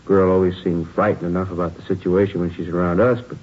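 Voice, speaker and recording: A man's voice: level tone, fast talker, husky. A man playing a plain-spoken, puzzled police detective in a radio drama, with a casual, questioning manner. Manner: casual, questioning, low-key. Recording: muffled, noisy old radio recording.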